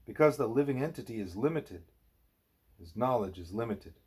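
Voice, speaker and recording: A man reading a scripted spiritual dialogue as an audiobook narrator, with emphatic, deliberate phrasing. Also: medium pitch, lively intonation, darkish tone, slightly rough. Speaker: audiobook reader.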